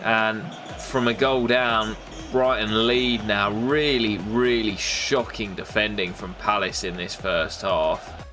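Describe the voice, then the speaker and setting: A young man with a nasal voice from a cold, commentating his football game in a casual play-by-play that jumps up excitedly at big moments. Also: takes it slowly, over music. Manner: excitable, bursts up in pitch at goals and misses. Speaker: gaming YouTuber commentating his career mode.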